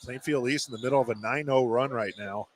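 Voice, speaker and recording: A man's voice: medium pitch, fast talker. A man giving conversational color commentary on a live basketball broadcast. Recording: clear background noise on live broadcast.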